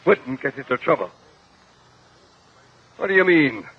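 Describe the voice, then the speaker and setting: An actor playing a stern older judge and strict uncle, commanding and scolding, in a 1950 radio drama. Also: male voice, a little high, very animated, measured pace, breathy edge, heavily acted. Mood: stern, indignant. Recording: muffled vintage 1950 radio recording.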